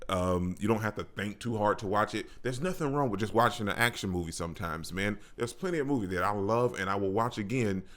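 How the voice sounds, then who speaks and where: Low-voiced man giving a casual review. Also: a little fast, few pauses, fairly bright tone. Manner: colloquial, slangy, enthusiastic. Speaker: movie reviewer and channel host. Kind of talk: casual video review chat.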